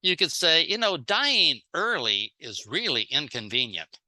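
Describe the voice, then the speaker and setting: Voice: mid-range voice, sing-song, takes it slowly, bright, forceful tone, poor audio. A speaker giving a sales training seminar in short plain phrases, acting out little example dialogues. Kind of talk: seminar talk in short phrases, paused for an interpreter.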